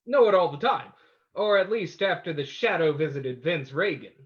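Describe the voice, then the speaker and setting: A suave, smug gentleman sleuth in a radio play, acted by a man. His high voice is animated and wry, heard through slightly dull, lo-fi sound. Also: moderate pace, lightly acted. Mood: smug, teasing. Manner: wry, self-assured. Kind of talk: old-time radio acting.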